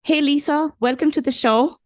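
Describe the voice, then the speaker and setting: A woman's voice: sits high, normal speed, no room sound, studio quality. A woman hosting an interview podcast, greeting her guest in an upbeat, effusive way. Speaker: podcast host.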